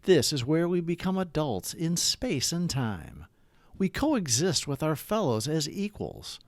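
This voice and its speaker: A man reading a daily recovery meditation aloud, with an earnest, measured and prayerful delivery. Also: a little high, wildly swooping pitch, no room sound, studio quality.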